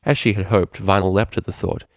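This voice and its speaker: A man's voice: sits low, steady tone, fairly quick, dry recording, clean studio sound. A man narrating a scripted story as a fan fiction reader.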